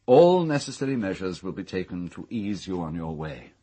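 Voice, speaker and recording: Pompous English gentleman, lively intonation. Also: sits low, moderate pace. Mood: dry, wry. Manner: pompous, precise, rhetorical. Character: haughty reactionary gentleman owner of a castle. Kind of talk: British radio drama acting.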